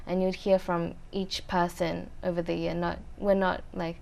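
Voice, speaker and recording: A young woman answering interview questions in a thoughtful, measured way with frequent pauses. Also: lowish voice, level tone, creaky, darkish tone. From Australia. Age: young adult. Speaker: interview guest, university student.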